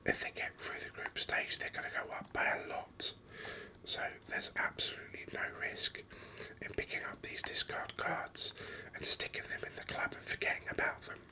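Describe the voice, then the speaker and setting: A gaming host whispers ASMR commentary close to the microphone. The voice is breathy and almost entirely unvoiced, with a very crisp, sharp brightness. The delivery is soft and unhurried, explaining things step by step.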